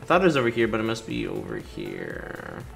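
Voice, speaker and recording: A man's voice: on the low side, huge pitch swings, a little fast, vocal fry. A young man giving casual, impatient, sarcastic commentary on a video game, sometimes reading its dialogue aloud. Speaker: gaming streamer. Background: video game music.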